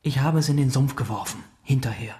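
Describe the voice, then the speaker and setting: A young actor playing a flippant, stubborn teenage brother in trouble in a radio drama. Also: male voice, medium pitch, brisk, mellow, close to an everyday voice. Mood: defiant.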